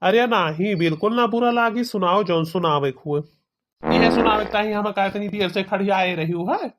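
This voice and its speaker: A man's voice: high voice, moderate pace, a bit bright. A man voicing a busy village man in an amateur cartoon comedy, plainspoken and repetitive, with an Awadhi accent. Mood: coaxing, curious. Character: busy village man, coaxing then irritated on the phone. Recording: dull, muffled lo-fi sound.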